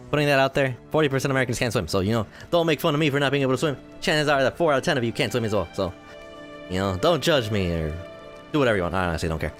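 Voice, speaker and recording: A man in his twenties giving rambling, self-deprecating commentary while playing a game, his voice tired and a little hoarse. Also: medium pitch. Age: 20s. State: voice tiring, slightly hoarse. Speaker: gaming video host. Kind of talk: casual let's-play commentary.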